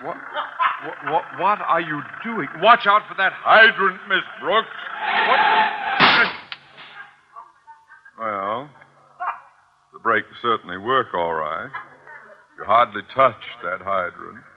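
Officious middle-aged American headmaster, suspicious and easily riled, medium pitch with big swings, dull old radio recording. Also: male voice, animated, unhurried, background noise. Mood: alarmed. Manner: pompous, formal, blustering. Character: pompous, suspicious male school principal, easily exasperated. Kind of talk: 1940s-50s American radio comedy acting.

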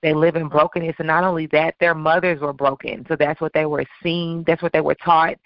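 A hoarse woman co-hosting a podcast, talking in an emphatic, opinionated, sassy way. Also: low voice, little pitch movement, fairly quick, dry recording. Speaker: podcast co-host. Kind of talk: casual podcast talk. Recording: dull lo-fi sound.

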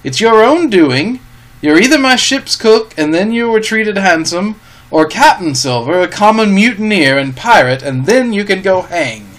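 A man plays a stern sea captain in an adventure storybook. He speaks in clipped, commanding phrases full of contempt, with a fairly high male pitch. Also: lively intonation, normal speed, a bit bright. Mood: stern, cold. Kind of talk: audiobook character voice.

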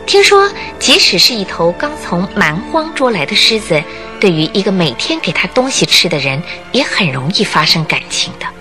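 A woman reading dramatic scripted narration for a radio drama, expressive and suspenseful. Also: mid-range voice, normal speed, few pauses. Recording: music bed under the narration.

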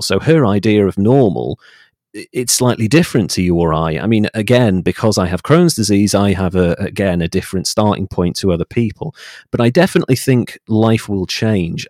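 A man in his late thirties speaking as a podcast guest in a reflective interview conversation, articulate, measured and opinionated. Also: lowish voice, darkish tone, no echo, clean studio sound. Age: late 30s.

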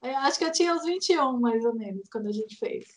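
A woman's voice: a little high, lively intonation, quick pace. A woman with a Brazilian accent, a guest game developer in a casual chat, who laughs easily while remembering past work. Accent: Brazilian Portuguese.

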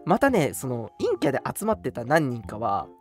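A young man co-hosting a casual radio chat, telling stories in an animated way and acting out short quotes. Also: medium pitch, moderate pace. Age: young adult. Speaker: radio show co-host. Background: background music.

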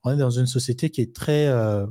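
A man's voice: mid-range voice, brisk, mellow, dry recording, clean studio sound. A man co-hosting a live discussion, talking loosely with many restarts and everyday examples. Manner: rambling, frequent fillers and restarts, explains with everyday examples. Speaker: co-host of a live discussion show.

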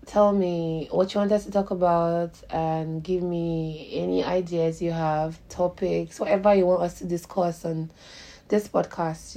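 A woman with a Nigerian accent hosting a podcast in casual, slangy, animated chat. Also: lowish voice. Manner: animated, laughs often, informal with slang. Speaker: podcast host.

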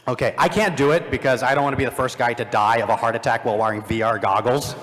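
A man giving halting, explanatory commentary on films and games as a panelist at a live event. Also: medium pitch, fairly even intonation, fairly bright tone. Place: large indoor convention panel room. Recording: echoey large hall, live panel sound.

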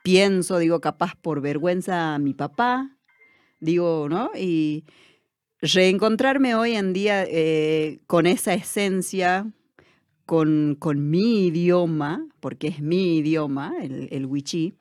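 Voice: medium pitch, takes it slowly, a little mellow, no echo, studio quality. A person with an Argentine Spanish accent, speaking as an interview guest in a reflective way, with many fillers and restarts.

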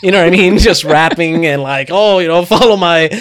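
Projecting, smooth-voiced man around thirty. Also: fairly high pitch, a little fast. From Cuban background, Miami scene. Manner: animated, projecting loudly, swearing. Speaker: guest, self-taught video creator and filmmaker. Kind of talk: casual conversational interview, storytelling.

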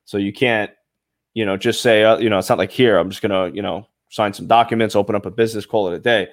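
A man's voice: lowish voice, steady tone, quick pace, no room sound, clean studio sound. A man from Brooklyn with a Brooklyn accent, hosting a casual podcast chat in a laid-back, slangy way. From Brooklyn, USA.

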